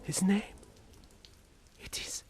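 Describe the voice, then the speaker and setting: A man's voice: slow, a little mellow, slight background noise, natural voice. An actor playing a tormented, feverish Frenchman slipping into madness in an audio horror drama, with brooding, formal, theatrical diction. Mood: hushed, haunted.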